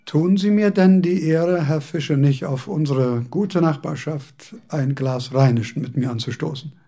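A man's voice: on the high side, a little slow, warm tone. An actor playing a courteous, pedantic male scholar in a radio play, with formal, ornate, old-fashioned diction. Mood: genial, polite.

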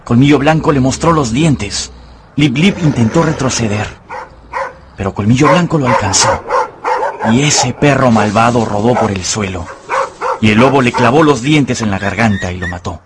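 A man's voice: medium pitch, moderate pace, heavy background noise. A man narrating a radio novel as a scripted, dramatic storyteller.

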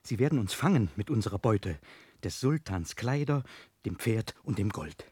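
An actor playing a frightened thief in hiding, speaking hushed, anxious verse in a radio play. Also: male voice, lowish voice, animated, normal speed, slightly rough, dry recording. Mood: fearful. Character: fearful male thief, a fence's son in hiding.

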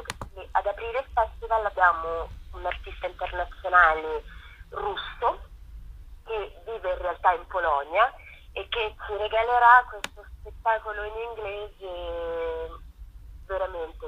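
A woman's voice: medium pitch, sharp tone. A woman speaking enthusiastically as a theatre company guest in a radio interview over the telephone. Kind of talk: radio interview, promoting a festival. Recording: noisy telephone line on radio.

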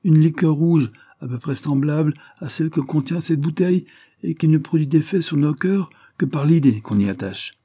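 A man's voice: fairly high pitch, normal speed, mellow, husky, no echo, clean studio sound, voice pushed up. Man playing a menacing, mocking revolutionary official. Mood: scornful oratory. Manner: ironic, contemptuous, declamatory.

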